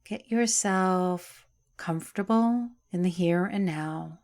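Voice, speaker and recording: A woman teaching yoga nidra, guiding a relaxation meditation in a soft, soothing way with long pauses. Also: sits low.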